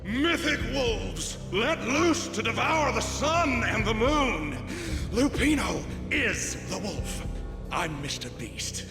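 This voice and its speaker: A crazed video-game mob boss rants in a high, gravelly voice that lurches from growl to shriek. He is theatrical and unhinged, a cultist who thinks himself a wolf. Heavy reverb surrounds him and music plays behind. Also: sing-song, slow pace, full character voice. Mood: menacing, grandiose. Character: deranged occult-obsessed mob boss raving like a wolf. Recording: reverberant hall, loud music.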